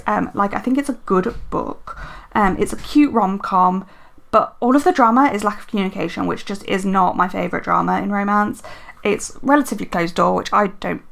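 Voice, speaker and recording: A woman talks in a loose, rambling way, full of "um"s and "like"s and small restarts. Her voice is slightly croaky from a sore throat, with a gravelly edge running through it. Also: mid-range voice, fairly bright tone. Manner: chatty, rambling, many fillers and self-corrections. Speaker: planner and book vlogger. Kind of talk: casual chat while decorating a planner.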